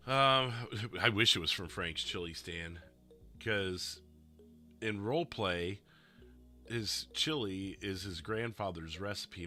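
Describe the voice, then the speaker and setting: A man chatting casually with his stream viewers, his voice a little rough and stuffy, rambling and laughing often. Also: deep voice, pushed and bright. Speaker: livestreamer playing a roleplay dispatcher.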